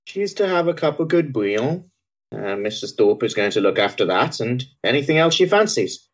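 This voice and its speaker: An actor playing a dry, jovial Victorian doctor in a period stage drama, brisk and teasing in manner. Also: male voice, mid-range voice, sing-song, muffled recording. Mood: brisk, confiding.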